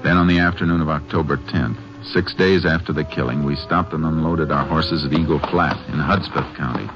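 A man's voice: extremely low pitch, brisk. An actor playing a grave, determined Texas Ranger who narrates his case in the manner of a 1950s radio western. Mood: measured narration. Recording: muffled old radio recording with music.

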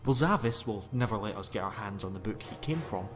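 A man's voice: fairly low pitch, animated, brisk, close to an everyday voice. An actor playing an upbeat, eccentric male time-traveller who speaks lightly, in an amateur audio drama. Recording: reverberant hall with music.

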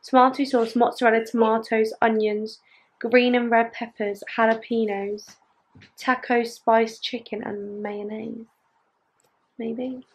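A woman's voice: fairly high pitch, little pitch movement, unhurried, breathy edge, low-quality recording. A young woman, chatting to camera at home in a rambling vlog style. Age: young adult. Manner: rambling, chatty, with many ums. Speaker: vlogger. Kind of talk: casual vlog chat to camera. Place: home living room and bedroom, indoors. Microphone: camera at arm's length.

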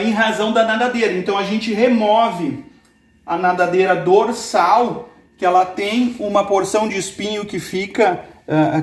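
A man in his forties, from Brazil, hosting a fishing channel and calmly explaining how to fillet a fish, step by step, in an indoor kitchen. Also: high voice. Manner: calm step-by-step explaining, pointing things out. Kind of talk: cooking demonstration. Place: indoor kitchen with brick and wood walls.

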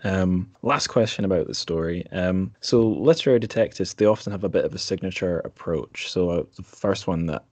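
A man with a low voice hosts a casual literary discussion. He speaks conversationally as an interviewer. The sound is dry, with very little room in it. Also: a little fast, hardly any pauses, darkish tone, no echo. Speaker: podcast host.

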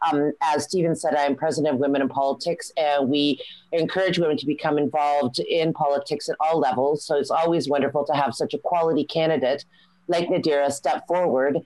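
A woman's voice: sits low, steady tone, brisk, darkish tone, no room sound, rough recording. A woman who heads a political group, briefly praising a candidate on a video call with hesitant fillers. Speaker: president of a political women's group. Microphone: video call webcam.

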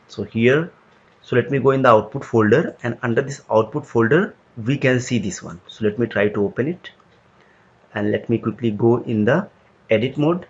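Guy doing a screen-recording tutorial, steady step by step, slightly muffled lo-fi sound. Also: mid-range voice. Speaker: tutorial instructor.

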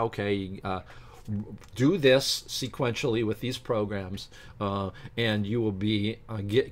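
A middle-aged man reads aloud haltingly from a book and breaks off to give his opinionated, informal commentary as a channel host. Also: fairly low pitch. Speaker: YouTube science channel host. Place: small home office with bookshelves, indoors.

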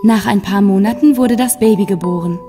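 A woman's voice: mid-range voice, fairly quick, dark tone. Woman narrating a fairy tale over music. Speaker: storyteller.

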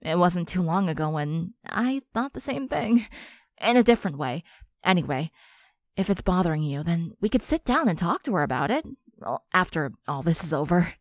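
A woman's voice: lowish voice, big pitch swings, moderate pace, no echo, clean studio sound. A woman playing a supportive, wry teenage girl in a fan fiction audio drama. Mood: understanding.

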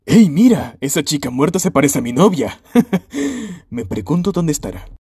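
A man's voice: fairly high pitch, sing-song, moderate pace, warm tone, slight background noise. A man with a Latin American Spanish accent voicing a clueless, melodramatic emo hedgehog in a cartoon fan dub. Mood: startled, clueless.

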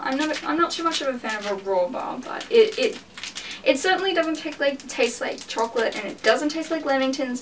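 A woman's voice: fairly high pitch, a bit bright, far from the mic, slight background noise, poor audio. A woman in her twenties chatting casually in a vlog from her bedroom, with lots of "like"s and offhand swearing. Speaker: vlogger. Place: small bedroom indoors.

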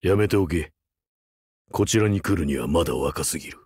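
A male actor playing a rugged older vampire hunter turned teacher in a game, wry, laconic and gruffly fatherly. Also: sits low, moderate pace, darkish tone, dry recording, studio quality. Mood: wry, evasive. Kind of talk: Japanese mobile game character voice lines.

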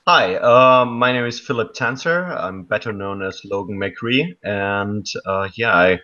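A man's voice: fairly low pitch, a little slow, sharp tone. A man in his thirties from Germany speaking English with a German accent, a hesitant interview guest on a video call. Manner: hesitant, many ums and restarts. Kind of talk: casual interview chat. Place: dark room at night. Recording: dull lo-fi call audio.

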